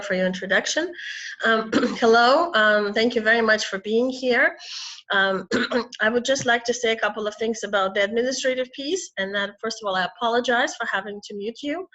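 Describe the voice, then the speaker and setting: A middle-aged woman from Ukraine, hoarse with a cold, giving an online talk as a psychotherapist, clearing her throat and restarting often. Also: on the high side, a bit bright. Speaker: psychotherapist and webinar speaker. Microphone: video call. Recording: muffled lo-fi video call audio.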